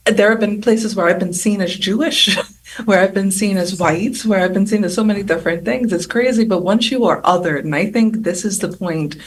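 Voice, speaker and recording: Woman on a panel, lowish voice, chatty, sounds a little far from the mic. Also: slightly rough. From Bahamas. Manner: chatty, animated, many fillers and restarts. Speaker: panel guest. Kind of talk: roundtable discussion.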